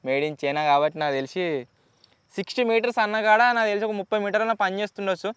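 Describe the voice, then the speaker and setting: Man, Telugu accent, high clear voice, fast pace, chatty vlog host, explanatory, English words mixed in. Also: lively intonation, fairly bright tone, no room sound. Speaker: YouTube host. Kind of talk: casual unboxing and testing vlog.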